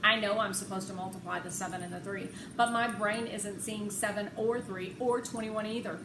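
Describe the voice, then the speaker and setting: A woman narrating a children's novel aloud in an expressive storytelling style. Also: mid-range voice, normal speed. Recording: echoey room with clear background noise.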